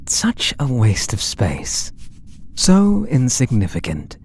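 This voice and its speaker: A British man voicing an obsessed, possessive artist and menacingly tender lover in a breathy, theatrical ASMR roleplay, drifting into whispers. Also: lowish voice, darkish tone, full character voice. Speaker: audio roleplay voice actor.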